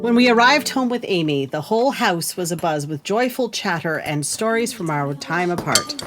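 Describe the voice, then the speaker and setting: A woman's voice: lowish voice, lively intonation, normal speed, music in the background, natural voice. A woman playing a gracious, motherly member of a household in a period audio drama, with clinking dishes and noise. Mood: reflective.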